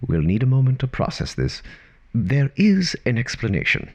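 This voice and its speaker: An actor playing a whimsical, confiding storyteller, narrating a scripted audio drama with halting, theatrical pauses. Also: male voice, medium pitch, wildly swooping pitch.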